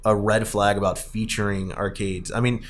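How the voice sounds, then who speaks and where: A man in his thirties hosts a relaxed talk about films and arcade games. He rambles in a halting way, often doubling back to correct his own sentences. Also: on the low side. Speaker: podcast host.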